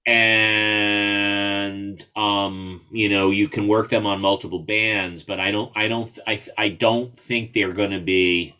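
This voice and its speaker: A man giving a casual, somewhat halting explanation as the invited speaker in an online meeting. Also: on the low side, level tone, sharp tone, clear, resonant tone. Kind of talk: casual explanatory talk with screen share.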